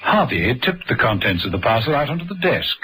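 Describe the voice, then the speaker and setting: A man's voice: medium pitch, sing-song, fairly quick, noisy background. A man narrating a scripted radio drama, telling the story between the scenes.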